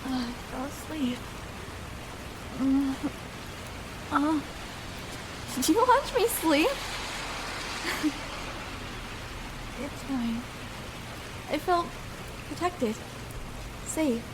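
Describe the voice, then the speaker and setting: A woman's voice: high-pitched, big pitch swings, very slow, long pauses, noisy background, exaggerated voice. A young woman playing a shy, vulnerable escort in an intimate ASMR roleplay, speaking hesitantly and tenderly with small stammers. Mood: drowsy, soft.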